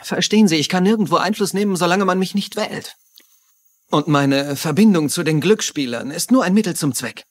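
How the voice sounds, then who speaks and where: Husky, scheming politician. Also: male voice, high-pitched, expressive, a little fast. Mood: self-justifying. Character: smooth-talking, ambitious male politician, evasive schemer. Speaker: actor in a German dub. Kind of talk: dubbed TV mystery dialogue.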